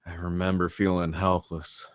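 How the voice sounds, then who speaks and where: A man's voice: deep voice, level tone, moderate pace, smooth, dry recording, studio quality, lightly acted. A man acting a shaken, guarded survivor in a film script read, speaking tersely and hesitantly. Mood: shaken, subdued. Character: Damien, shaken, guarded male survivor of an attack.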